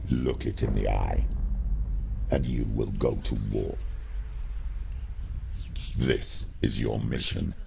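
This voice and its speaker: An actor playing a menacing shadow spirit in a video game, speaking in slow, solemn, ominous pronouncements with a deep gravelly growl. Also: male voice, noisy background, exaggerated voice. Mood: grim, menacing. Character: menacing dark shadow spirit, a grim male narrator. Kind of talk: dramatic video game voice acting.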